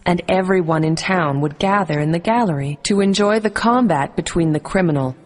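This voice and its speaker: A woman reading scripted narration for a children's animated story. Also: lowish voice, fairly quick. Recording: music underneath.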